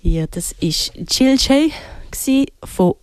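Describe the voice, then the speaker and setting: A woman's voice: sits high, animated, takes it slowly, smooth. A woman with a Swiss German accent presenting music on the radio in a casual, enthusiastic, informal way. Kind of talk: casual chat introducing music.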